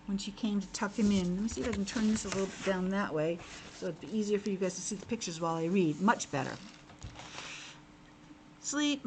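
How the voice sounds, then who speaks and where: A woman's voice: medium pitch, slight background noise, low-quality recording. A woman teacher reading a picture book aloud to young children, expressively, with simple asides to her listeners.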